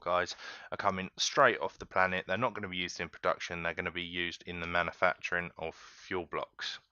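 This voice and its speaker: A deep-voiced man talks viewers through a game step by step, relaxed and chatty. His voice is bright and smooth, recorded dry on a slightly dull home mic. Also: no room sound. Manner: relaxed, chatty, keeps addressing viewers as guys. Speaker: gaming tutorial host. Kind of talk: casual step-by-step game tutorial.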